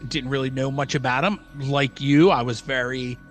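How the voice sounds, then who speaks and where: A man's voice: medium pitch, steady tone, normal speed, soft background music. A middle-aged man co-hosting a podcast in casual, agreeable conversation, often repeating short words of agreement. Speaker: podcast co-host.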